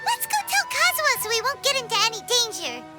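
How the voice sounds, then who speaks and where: A woman voicing a tiny, childlike fairy companion in a video game, squeaky and excitable, with exaggerated delivery. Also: fast, exaggerated voice. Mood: pondering, eager. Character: tiny chirpy childlike fairy companion, talks in third person. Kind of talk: video game cutscene acting. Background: music.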